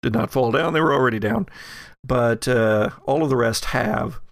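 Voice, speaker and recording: A man acting as game master, narrating an improvised horror tale in a measured way with dramatic pauses. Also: mid-range voice, vocal fry, a little hiss or hum.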